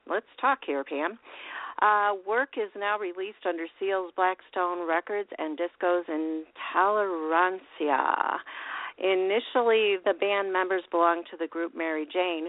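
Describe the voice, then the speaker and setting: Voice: mid-range voice, no room sound. A radio music show host reading artist introductions between songs, stumbling over words and correcting themself. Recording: tinny phone-like line, dry.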